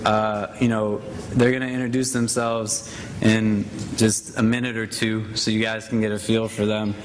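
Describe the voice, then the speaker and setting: A man's voice: lowish voice, level tone, moderate pace, slight background noise, rough recording. A man moderating a conference panel on stage, speaking casually with a self-deprecating humor and plenty of fillers.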